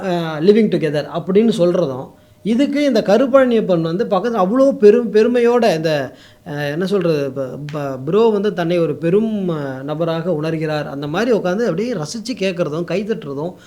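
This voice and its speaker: Fast, high-voiced Tamil Nadu man. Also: a little mellow. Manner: code-mixes English words into speech. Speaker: interview guest, political YouTube commentator. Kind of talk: opinionated interview talk.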